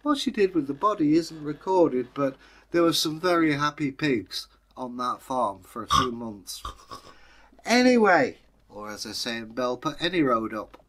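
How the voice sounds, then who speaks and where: A man's voice: fairly high pitch, lively intonation, a little slow, fairly bright tone. A man with an English accent, telling a folktale aloud as a storyteller, with wry, conversational asides. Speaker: storyteller, channel host. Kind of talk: animated oral folktale storytelling.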